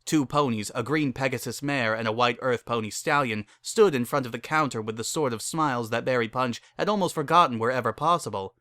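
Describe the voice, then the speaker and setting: Bright-voiced male story narrator. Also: mid-range voice, fairly quick, hardly any pauses, no room sound. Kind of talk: dramatic reading of fan fiction.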